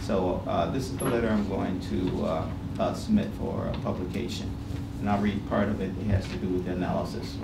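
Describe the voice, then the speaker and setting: A middle-aged American man speaking on a panel, reading a prepared statement with asides in an emphatic, deliberate, sometimes halting way. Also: low-pitched, steady tone, gravelly. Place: indoor panel room. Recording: roomy, distant microphone, noisy background.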